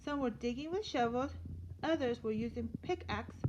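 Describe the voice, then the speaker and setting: A woman teacher reading a children's story aloud in an expressive storytelling manner. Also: on the high side, moderate pace. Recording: dull lo-fi sound with light noise.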